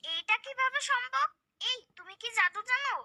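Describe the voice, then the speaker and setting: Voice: very high voice, expressive, normal speed, bright, forceful tone, heavily acted. A performer voicing a curious, cheeky, credulous little boy in a cartoon, chirpy and inquisitive.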